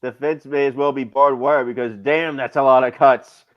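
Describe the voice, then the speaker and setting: A man's voice: medium pitch, fairly even intonation, normal speed, muffled recording. A man chatting casually as a co-host on a stream, commenting on a video. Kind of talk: casual chat reacting to a video.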